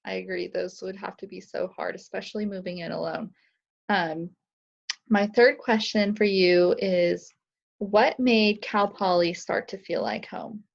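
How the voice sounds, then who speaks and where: A woman's voice: mid-range voice, steady tone, measured pace, gravelly. A young adult woman acting as interviewer, asking prepared questions in an informal way. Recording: slightly dull, lo-fi sound.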